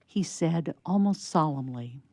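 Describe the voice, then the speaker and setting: A woman's voice: deep voice, lively intonation, measured pace, no room sound, studio quality. A woman narrating a scripted story for radio theatre, reading as the storyteller. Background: soft plucked harp underscore.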